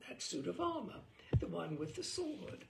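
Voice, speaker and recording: Arch, creaky-voiced woman storyteller, animated. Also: medium pitch, normal speed, echoey room, background noise. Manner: arch, theatrical, knowing. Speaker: storyteller reading a book aloud. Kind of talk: expressive humorous narration of a mock guidebook.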